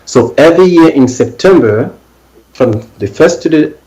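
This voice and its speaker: A man's voice: on the high side, lively intonation, moderate pace, darkish tone, rough recording. A middle-aged man from Cameroon, a native French speaker with a Cameroonian accent, answering questions as an interview guest. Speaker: interview guest, event organizer.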